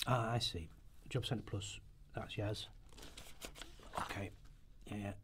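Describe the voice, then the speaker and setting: A man's voice: on the low side, slow, background noise. A man reading a script aloud as a narrator in rehearsal, now and then stumbling over his words. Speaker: radio play narrator in rehearsal.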